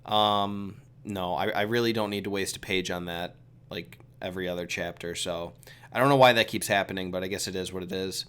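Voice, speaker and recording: A man who is a little sick hosting a relaxed, chatty solo review, giving his opinions with lots of fillers. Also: sits low, brisk, smooth. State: sick with a cold. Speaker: video host reviewing a comic chapter. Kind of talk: casual solo commentary.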